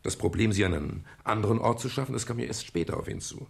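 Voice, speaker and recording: An actor playing a calm, reflective private detective in a German radio crime drama, speaking in a measured, explanatory way with a gravelly, husky voice. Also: male voice, lowish voice, full character voice. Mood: calm explaining. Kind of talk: German radio crime drama, dialogue and first-person narration.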